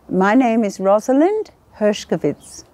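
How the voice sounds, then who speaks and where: A middle-aged Australian woman from Sydney giving an earnest, measured personal testimony as a guest. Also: mid-range voice, very animated, warm tone. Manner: earnest, measured, lists in careful steps. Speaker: guest, singer and worshipper.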